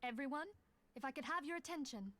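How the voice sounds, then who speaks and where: An actress playing a composed, gracious woman leader in a fantasy game, speaking in a formal, courteous, measured way. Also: high voice, expressive, bright, forceful tone. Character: composed, gracious woman leading a scholarly order. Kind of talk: fantasy video game acting.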